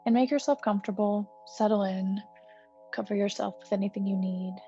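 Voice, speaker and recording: A woman meditation teacher guiding a healing breath meditation in a soft, slow, soothing way with gentle pauses. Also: medium pitch, fairly even intonation. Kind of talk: guided meditation. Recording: slightly dull recording with soft music.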